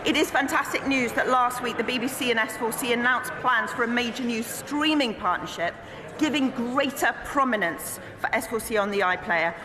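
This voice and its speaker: A woman with a Welsh accent answers questions in a measured, formal way, her fairly high voice carrying through a large echoing chamber. A crowd murmurs around her, and the long reverb makes her sound a little distant. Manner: measured, formal parliamentary delivery. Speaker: government minister. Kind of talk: parliamentary answer.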